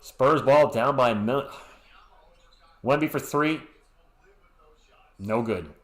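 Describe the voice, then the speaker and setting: Male stream host from Western Massachusetts, mid-pitched, calls the game play by play and talks to chat, recorded in a roomy space. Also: slow. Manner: chatty, answers chat messages with joking asides. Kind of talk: live play-by-play commentary. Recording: roomy echo.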